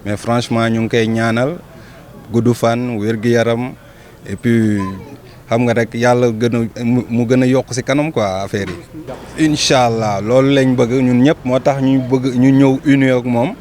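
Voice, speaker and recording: A man's voice: mid-range voice, flat delivery, measured pace, a little mellow. A man with a Senegalese accent giving a spontaneous, sincere testimonial and repeating himself as he goes.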